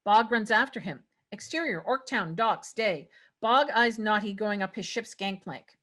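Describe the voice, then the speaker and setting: Woman narrating a script, medium pitch. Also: unhurried, fairly bright tone. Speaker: narrator reading stage directions. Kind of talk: scripted narration at a table read.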